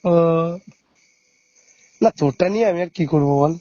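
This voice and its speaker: High-voiced young Bengali man, hesitant and coaxing. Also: moderate pace, warm tone, no room sound, dull audio. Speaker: prank caller, vlog host. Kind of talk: casual phone chat with friends.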